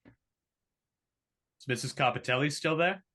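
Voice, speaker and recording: A man's voice: a little high, takes it slowly, pushed and bright. A man reading the part of an awkward, privileged young heir in a drama table read, talking in a casual, rambling way. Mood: amused, casual.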